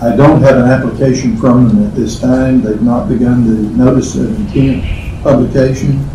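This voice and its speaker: A man's voice: mid-range voice, steady tone, normal speed. An American man giving a formal procedural report to a committee as a staff member. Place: indoor meeting room, picked up from afar. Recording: echoey meeting room, distant, noisy.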